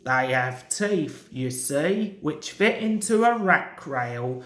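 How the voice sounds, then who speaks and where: A woman voicing a modest, thoughtful little male mountain railway engine from a storybook, gentle and earnest. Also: sits low, animated, slow. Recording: roomy, echoing home recording.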